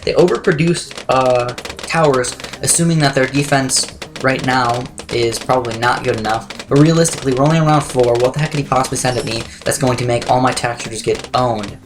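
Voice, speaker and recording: A young man with a slightly raspy voice, talking quickly through game strategy as a let's play commentator. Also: medium pitch, fairly even intonation, noisy background. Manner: fast, chatty, self-correcting strategy talk. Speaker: gameplay commentator.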